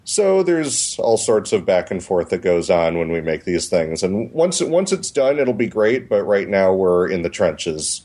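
A man co-hosting a podcast in casual chat, speaking thoughtfully with frequent pauses and restarts. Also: low voice, big pitch swings, mellow. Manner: hesitant, many restarts, thoughtful. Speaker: podcast co-host.

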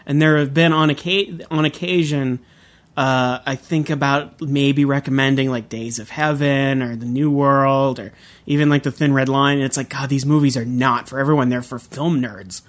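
A man's voice: mid-range voice, fairly even intonation, moderate pace. A man hosting a podcast in casual, enthusiastic chat, laughing often. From Southern California. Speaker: podcast host.

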